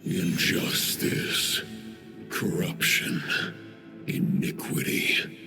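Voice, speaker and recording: An actor voicing an ancient, menacing imprisoned being in a fantasy video game, with slow, imperious, contemptuous pronouncements in a deep gravelly growl. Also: male voice, over music. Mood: menacing contempt.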